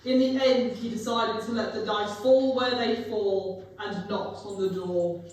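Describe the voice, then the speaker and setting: A woman's voice: medium pitch, steady tone, measured pace, a little mellow, lightly acted. A young woman narrating a scripted story in a live dramatic reading. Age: young adult. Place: museum room, live performance. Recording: echoing hall, distant mic, faint noise.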